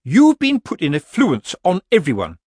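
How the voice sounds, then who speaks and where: A man voicing a prim, bossy society witch, a brittle and indignant woman with a shrill voice, in an audiobook story. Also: huge pitch swings, normal speed, a little mellow, slightly rough, dry recording, studio quality, exaggerated voice. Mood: shrieking rage.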